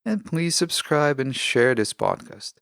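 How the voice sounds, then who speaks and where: A man hosting a podcast, delivering a reflective scripted monologue in measured, earnest, deliberate short statements. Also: mid-range voice, very animated, gravelly, a bit bright, no echo, studio quality. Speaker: podcast host.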